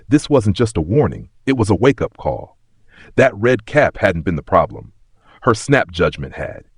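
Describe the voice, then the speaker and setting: A man's voice: low voice, husky, no room sound, clean studio sound. A man narrating a scripted story with a dramatic, suspenseful and emphatic delivery.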